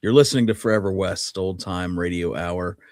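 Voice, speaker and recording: A man's voice: deep voice, moderate pace, no echo. Man hosting a casual radio show, close dry sound. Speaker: podcast host.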